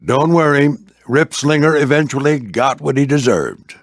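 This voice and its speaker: Folksy old warplane mentor, lively intonation. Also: male voice, mid-range voice, normal speed, no room sound, studio quality. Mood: storytelling. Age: older. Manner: folksy, avuncular, military clipped phrasing. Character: gruff old veteran warplane flight instructor, fatherly storyteller. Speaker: squadron skipper and mentor. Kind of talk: animated video game character voice lines.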